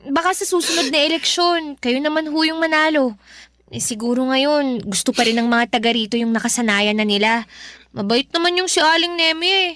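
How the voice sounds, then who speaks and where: An actress playing a patient young daughter who gently soothes and pleads with her mother in a radio drama. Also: high voice, fairly quick, dry recording. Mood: soothing.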